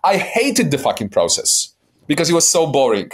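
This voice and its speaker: A man's voice: fairly high pitch, huge pitch swings, moderate pace, breathy edge. A man in his forties with a light Polish accent, a life coach talking as a podcast guest in a blunt, emphatic way, swearing for emphasis and often checking in with "right?". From Poland. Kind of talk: podcast interview.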